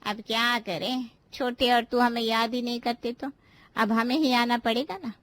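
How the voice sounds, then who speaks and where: A woman's voice: a little high, fairly even intonation, normal speed, fairly bright tone, dry recording, lightly acted. A woman voicing an easily offended older aunt in a cartoon family drama, complaining and reproachful. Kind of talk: animated family drama voice acting in Hindi.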